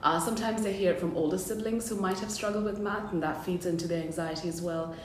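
A woman's voice: fairly even intonation, fast. A woman working as an educator, talking conversationally in an interview. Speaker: school educator being interviewed. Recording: roomy, faint rumbling background noise.

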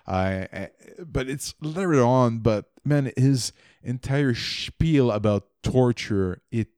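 A man's voice: mid-range voice, animated, slow, a little mellow, clean tone, no room sound, clean studio sound. A man from French Canada, a native French speaker, co-hosting a casual book discussion with stammering restarts. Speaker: podcast co-host.

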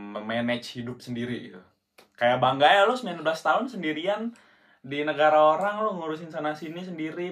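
A man's voice: medium pitch, fairly bright tone. A young man from Indonesia, around twenty, chatting casually as a podcast co-host in slangy, rambling speech full of restarts. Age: young adult. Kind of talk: casual chat.

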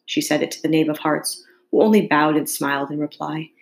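A woman's voice: sits low, steady tone, brisk. A woman reading a storybook aloud to students like a teacher, carefully and with expression.

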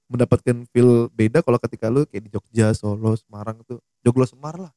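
A man's voice: mid-range voice, warm tone, dry recording, clean studio sound. A young man chatting casually and joking with friends in slangy talk. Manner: laid-back, slangy, quick to laugh. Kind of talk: casual chat.

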